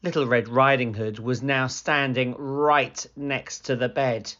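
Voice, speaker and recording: A woman reading a children's story aloud as scripted narration, expressive and deliberate. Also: a bass voice. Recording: slightly dull, lo-fi sound.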